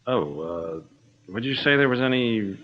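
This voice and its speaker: Polite, low-voiced engineer, eager but uneasy, old-time radio drama, muffled audio. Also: male voice, animated, unhurried. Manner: polite, eager, slightly nervous. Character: genteel, nervous male engineer and amateur archaeologist. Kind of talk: 1940s radio acting. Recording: dull lo-fi old-radio sound.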